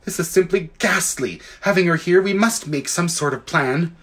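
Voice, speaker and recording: A man's voice: sits high, moderate pace, fairly bright tone, pitched above the performer's own voice. A man voicing an earnest, worried schoolboy in a storybook, speaking with urgency. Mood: pleading. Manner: urgent, pleading.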